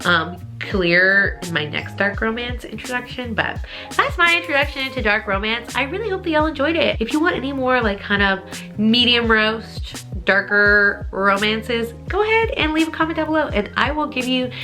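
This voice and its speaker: A woman's voice: a little high, animated, moderate pace, pushed and bright, faint music behind. A woman talking casually as a book reviewer on her vlog, informal and gushing, with emphatic repetition. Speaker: book reviewer, channel host.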